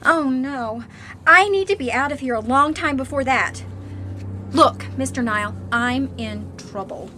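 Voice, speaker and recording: Rich teenage coed for radio play: high, sharp, pushy, impatient. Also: female voice, a little slow, a little hiss or hum. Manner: petulant, pushy. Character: spoiled, impatient nineteen-year-old rich college girl. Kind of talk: radio drama acting.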